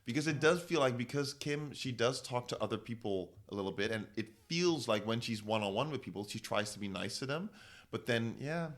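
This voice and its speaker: A man's voice: medium pitch, expressive, a little fast. A young man, co-hosting a podcast and chatting playfully about a TV show. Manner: chatty, playful, interjecting often. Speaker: podcast co-host. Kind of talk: casual chat recapping a TV show.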